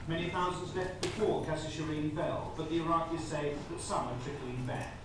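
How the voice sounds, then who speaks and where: A man's voice: fairly high pitch, moderate pace. Echoey, distant male news reporter. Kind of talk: scripted news report narration. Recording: very echoey, distant, loud background noise.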